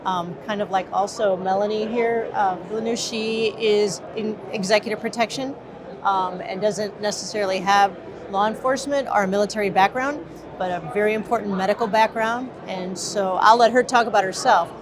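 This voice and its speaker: A woman's voice: mid-range voice, fairly even intonation, moderate pace, crowd noise. A woman from the Midwest, co-owner of a protection business, answering interview questions in an earnest way with frequent fillers.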